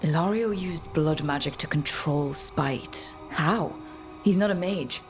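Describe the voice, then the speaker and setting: A woman's voice: low voice, slow, over music. An actress playing a capable, determined young woman who leads adventurers in a fantasy video game, wry and matter-of-fact. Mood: serious, probing.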